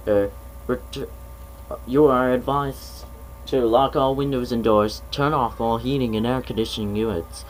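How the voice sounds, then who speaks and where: A man's voice: medium pitch, a little hiss or hum, low-quality recording. Man reading a mock emergency alert. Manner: measured official delivery with stumbles and restarts.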